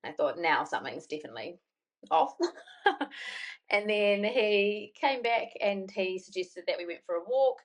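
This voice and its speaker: A woman's voice: mid-range voice, big pitch swings, moderate pace. Chatty New Zealand young woman. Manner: chatty, rambling, many fillers and "like". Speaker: podcast guest. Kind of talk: casual storytelling interview.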